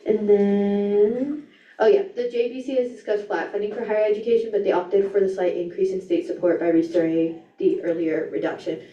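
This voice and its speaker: A woman's voice: medium pitch, fairly even intonation, brisk, dark tone. Young woman giving a casual report, echoey. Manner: casual, reads notes with ums and slips. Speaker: student government officer giving legislative report. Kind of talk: meeting report. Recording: echoey room, distant mic, dull sound.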